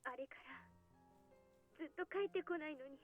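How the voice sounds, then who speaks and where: A woman's voice: high-pitched, rapid-fire. A woman playing an emotional, hesitant teenage girl in a Japanese visual novel, heard through a telephone filter. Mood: wistful, upset. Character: teenage girl, emotional, putting on a brave face. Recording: tinny phone-line filter, music behind.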